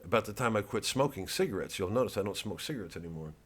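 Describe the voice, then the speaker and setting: A man's voice: on the low side, expressive, very fast. An American man, a comedian, talking casually to his fans in a rambling, digressive monologue with some swearing.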